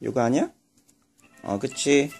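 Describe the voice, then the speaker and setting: Woman, Korean accent, casual child-directed read-along, very fast, animated, encouraging, faint music behind. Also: rumbling low, slightly rough. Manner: encouraging, repeats and explains simply. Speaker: mother reading along with her child.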